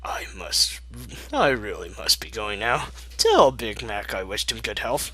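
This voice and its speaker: A man voicing a nervous, excitable and apologetic male mech in a fan story, blurting his words. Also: fairly low pitch, unhurried, slightly rough, heavily acted.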